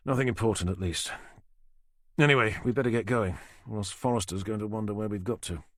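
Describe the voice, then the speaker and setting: A man's voice: fairly low pitch, brisk, fairly bright tone, no room sound, studio quality, close to an everyday voice. A man playing a blunt, exasperated detective. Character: frustrated, blunt male detective.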